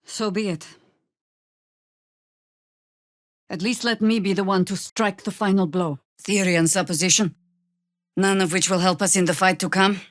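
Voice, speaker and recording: An actress playing a seasoned, sardonic older female druid warrior in a video game, with a wry, commanding, measured delivery. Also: mid-range voice, fairly even intonation, dry recording, studio quality, lightly acted. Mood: grave, urgent. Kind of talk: video game voice acting, dramatic dialogue and battle barks.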